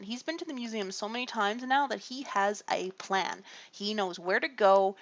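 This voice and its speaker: A woman with a gravelly, creaky voice chats loosely to her stream as she draws. Her pitch swings up and down freely, and she meanders along with plenty of fillers. The sound is a little dull and lo-fi. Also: fairly bright tone. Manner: rambling and chatty, with frequent fillers and laughs. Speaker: streamer drawing colouring pages. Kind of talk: casual livestream chat while drawing.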